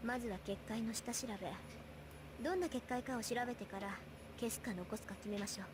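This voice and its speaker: A woman acting a confident, bossy but caring teenage schoolgirl mage in an anime visual novel, brisk and assertive. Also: a little high, background noise, lightly acted. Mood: businesslike. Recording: game audio under loud music. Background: loud game music.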